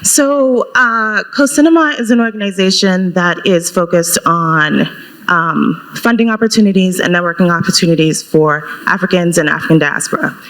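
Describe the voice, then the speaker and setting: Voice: medium pitch, normal speed, rough recording. Casual panel guest from Brooklyn. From Brooklyn, United States. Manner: casual, relaxed, uses "like" often. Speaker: founder of an organization, panel guest. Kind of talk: panel discussion answers. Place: conference stage.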